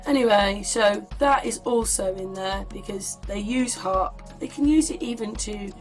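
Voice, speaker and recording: A woman's voice: medium pitch, unhurried, faint music behind. A woman presenting in a casual running commentary, with informal, exclamatory asides.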